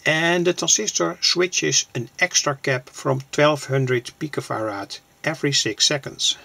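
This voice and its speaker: A man explaining a hands-on demonstration plainly, in halting short phrases, as an electronics hobbyist presenter. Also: on the high side, gravelly, sharp tone, no room sound. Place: small cluttered indoor electronics workbench.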